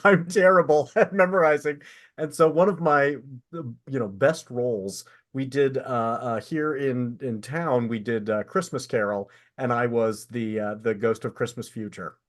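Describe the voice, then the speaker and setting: A man with a medium-pitched voice speaks as a podcast host in relaxed conversation. He has an animated, anecdotal way of talking. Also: normal speed. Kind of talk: casual chat.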